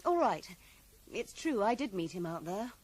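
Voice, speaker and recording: Guarded, sharp-tongued woman, lively intonation. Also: medium pitch, normal speed. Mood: reluctant, defensive. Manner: curt, evasive, coolly defiant. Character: guarded, sharp-tongued woman writer shielding a dead lover. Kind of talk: radio drama acting. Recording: noisy 1980s radio drama broadcast.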